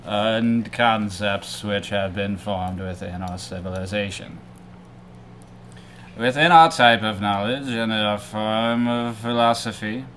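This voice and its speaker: A man reading a debate transcript aloud in a deliberate, halting way. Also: lowish voice, fairly bright tone. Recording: faintly noisy amateur recording.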